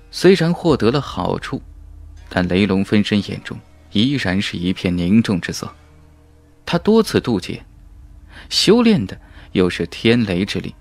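A man narrating a fantasy novel in a dramatic, emphatic storytelling manner. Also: on the low side. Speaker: audiobook narrator. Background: background music.

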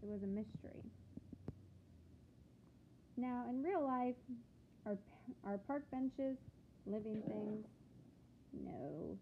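A woman reading a picture book aloud to children like a teacher, with expressive, deliberate phrasing and questions to the listeners. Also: medium pitch, gravelly. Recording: phone-like thin sound with background noise.

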